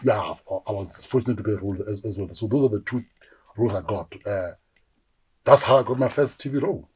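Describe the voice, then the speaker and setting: South african guy in his 40s, husky voice, tells stories with lots of voices, chatty interview. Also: on the low side, fast talker, poor audio. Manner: animated storyteller, acts out quoted voices. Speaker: actor guest. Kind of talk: casual interview storytelling.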